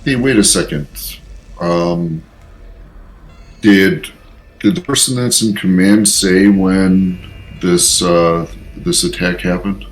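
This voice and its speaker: A man talking casually and haltingly as a player in a tabletop role-playing game. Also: low-pitched, rough recording.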